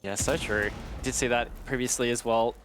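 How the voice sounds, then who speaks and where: A man giving analytical esports commentary in a conversational, measured way. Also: mid-range voice, fairly even intonation, a crowd in the background.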